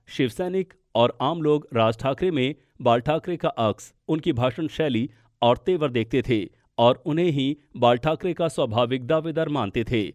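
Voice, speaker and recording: A man's voice: mid-range voice, a little mellow, dry recording. Dry-recorded male news narrator. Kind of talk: scripted political explainer narration.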